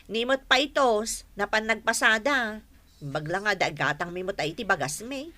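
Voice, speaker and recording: A woman's voice: medium pitch, expressive, normal speed, sharp tone. An actress in an Ilocano radio drama playing a nagging, suspicious, exasperated wife and mother with an Ilocano accent and a scolding manner. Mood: worried, bitter. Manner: scolding, emotional.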